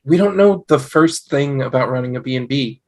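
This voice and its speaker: A man acting as a skeptical young husband at a table read. He has a medium-pitched voice and a reluctant, conversational delivery. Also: normal speed, darkish tone, lightly acted.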